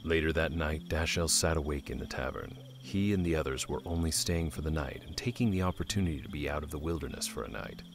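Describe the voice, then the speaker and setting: A man's voice: very deep voice, fast talker, vocal fry, few pauses, noisy background. A man narrating a scripted dramatic story as a storyteller.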